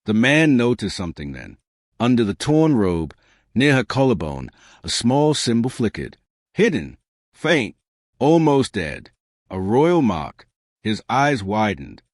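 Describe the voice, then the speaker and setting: A man narrating a science fiction story in a measured, dramatic way with short pausing phrases. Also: medium pitch, very animated, no echo, studio quality. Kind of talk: scripted dramatic sci-fi audiobook narration.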